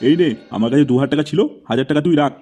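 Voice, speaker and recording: A man's voice: a little high, racing, mellow, faint music behind, lightly acted. A man voicing a sweet-talking, evasive middle-aged father in a comedy cartoon.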